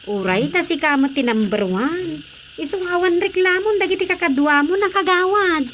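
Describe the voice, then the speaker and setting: A woman voicing an excitable young villager with a squeaky voice and an Ilocano accent in a radio drama comedy. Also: moderate pace. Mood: eager. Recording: faintly noisy radio drama track.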